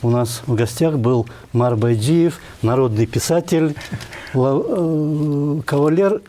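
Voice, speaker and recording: Mellow-voiced male interview host. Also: on the high side, slow. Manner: brief, polite prompts. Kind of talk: interview hosting.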